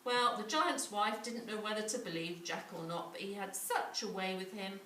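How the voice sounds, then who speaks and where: A woman reading a children's story as a storyteller, with dramatic, drawn-out emphasis. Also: medium pitch, pushed and bright. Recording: echoey room, distant mic, faint noise.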